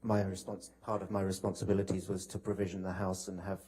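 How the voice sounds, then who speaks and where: A man giving testimony as a witness in a courtroom, hesitant and measured, with halting answers. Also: low voice, flat delivery, dark tone, breathy edge. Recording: noisy courtroom broadcast feed.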